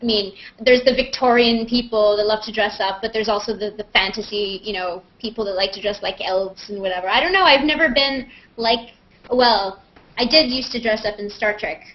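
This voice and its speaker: A woman's voice: medium pitch, fairly even intonation, moderate pace. A woman chatting casually and playfully as she hosts a live question-and-answer video call. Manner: playful, joking, self-mocking. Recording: muffled, lo-fi internet video call.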